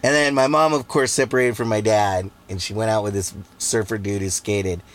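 A man's voice: on the low side, steady tone, moderate pace. A man in his forties from Southern California, chatting casually as a podcast guest and rambling through his stories. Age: 40s. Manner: laid-back, rambling storyteller with fillers. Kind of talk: casual chat.

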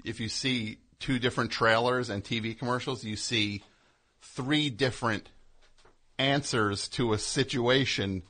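A man's voice: mid-range voice, steady tone, a little slow, sharp tone. A man chatting casually and comedically as a talk show host, riffing with mock-indignant asides.

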